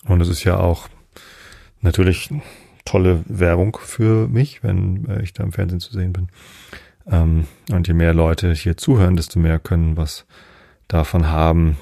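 Very low, gravelly man's podcast voice. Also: steady tone, warm tone, no room sound, clean studio sound. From northern Germany. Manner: unhurried, meandering, thinking aloud. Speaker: podcast host. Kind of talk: casual, rambling bedtime podcast monologue.

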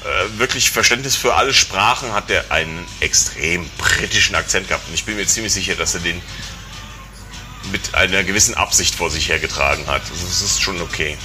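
Rambling middle-aged man, low voice over music. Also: a little fast. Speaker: conversation participant. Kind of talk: casual chat.

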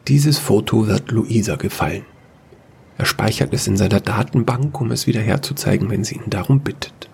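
A man with a fairly low, crackly voice narrates a science-fiction fairy tale for audio. His delivery is measured and scripted, in the manner of a storyteller. Faint noise sits behind the voice. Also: vocal fry, darkish tone.

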